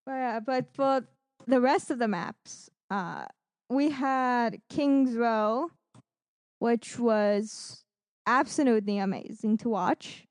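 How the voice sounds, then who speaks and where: A woman's voice: fairly high pitch, slow pace, clear, resonant tone, no room sound. A woman co-hosting a podcast, recapping esports matches in a casual, gushing, enthusiastic way. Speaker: podcast co-host.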